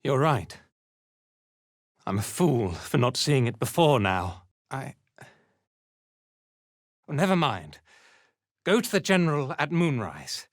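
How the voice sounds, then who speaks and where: A man playing a haughty, zealous dark elf cult commander in a video game, with an imperious, contemptuous and theatrical delivery. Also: medium pitch, sing-song, slow, long pauses, no echo, studio quality. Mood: bitter, subdued. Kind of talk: scripted video game character acting.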